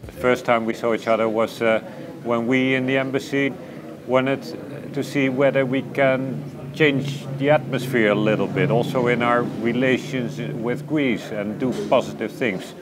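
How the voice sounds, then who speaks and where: A man from an embassy addressing the honoree directly in an earnest, halting way. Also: medium pitch, steady tone, noisy background. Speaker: embassy official. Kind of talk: tribute interview, speaking to the honoree.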